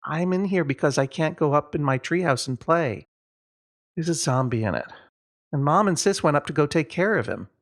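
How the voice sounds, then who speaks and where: A man's voice: a little high, lively intonation, moderate pace. A man voicing a glum young storybook boy who gives dry, matter-of-fact, slyly goading warnings.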